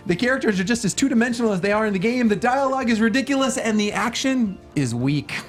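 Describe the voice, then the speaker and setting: A man's voice: very high voice, animated, quick pace. A man co-hosting a film review panel, bantering casually in a wry, quippy way over music. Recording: music playing behind the talk.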